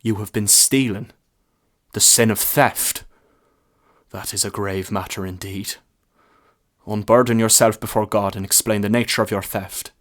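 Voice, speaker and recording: A man voicing a world-weary, stern parish priest in a story, with a measured, solemn, admonishing manner. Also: on the low side, steady tone, close to an everyday voice. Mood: grave. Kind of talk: short story character reading.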